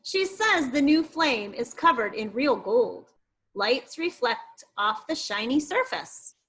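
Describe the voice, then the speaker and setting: A woman teacher reading an informational book aloud to young children, expressive and explanatory, with asides to her pupils. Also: fairly high pitch, slow pace, slightly rough.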